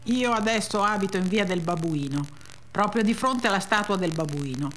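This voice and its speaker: An actress reading a memoir as a blunt, resentful female narrator, with deadpan, childlike run-on phrasing. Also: low-pitched, a little hiss or hum. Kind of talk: dramatic reading of a first-person memoir.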